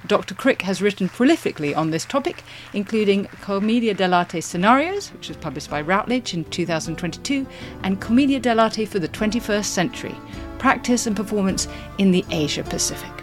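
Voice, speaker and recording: Woman podcast host, measured and articulate, clear music playing under her. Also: medium pitch, animated. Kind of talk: scripted introduction and interviewing.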